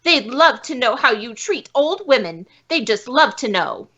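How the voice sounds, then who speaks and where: A woman's voice: fairly high pitch, big pitch swings, unhurried, a bit bright, breathy edge, highs cut off, heavily acted. A woman playing a bitter, indignant forger, a failed actress who poses as an old lady, in a radio police drama. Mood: indignant, defiant.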